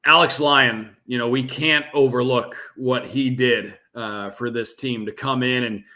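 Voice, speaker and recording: A man speaking as a guest radio play-by-play announcer, giving measured, detailed sports analysis in a conversational way. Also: mid-range voice, steady tone, bright, forceful tone.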